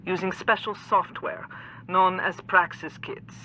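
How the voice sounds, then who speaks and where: An actress playing a composed, formal doctor who explains things in measured clinical terms in a video game cutscene. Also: female voice, on the low side, fairly even intonation, pushed and bright, slight background noise, poor audio. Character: composed clinic doctor briefing an augmented patient.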